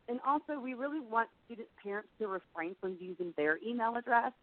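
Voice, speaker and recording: A woman's voice: on the high side, a little fast. A middle-aged woman, slightly froggy from being under the weather, giving a conversational, explanatory webinar presentation as an admissions director. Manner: conversational, explanatory, frequent "you know". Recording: phone-like narrow band, dry.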